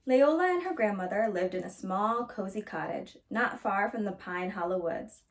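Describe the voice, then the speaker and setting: A woman reading a children's storybook aloud as a storyteller, in an expressive narrating style. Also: mid-range voice, normal speed.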